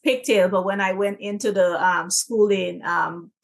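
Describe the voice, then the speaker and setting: Woman from guyana chatting as a guest, lots of you-knows, mid pitch. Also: steady tone, a little slow, vocal fry. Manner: chatty, many ums and you-knows, laughs easily. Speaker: guest, legacy coach. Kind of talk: casual interview.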